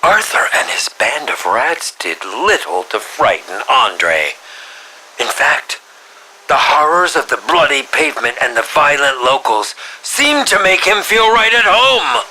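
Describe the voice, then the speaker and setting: An actor voicing a melodramatic, sinister film director villain in a video game, drawing out his lines and dropping into breathy whispers. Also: on the high side, big pitch swings, sharp tone, a little hiss or hum, heavily acted, poor audio. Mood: gleeful menace. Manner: melodramatic, drawn-out delivery with breathy whispered drops. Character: theatrical, sinister mad male film director villain, grieving and menacing. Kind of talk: scripted game villain monologue.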